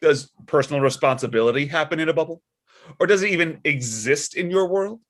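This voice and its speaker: A man's voice: on the high side, brisk, fairly bright tone, natural voice. A man playing an affable, easily flustered older church deacon and father in a comedy table read. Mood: argumentative.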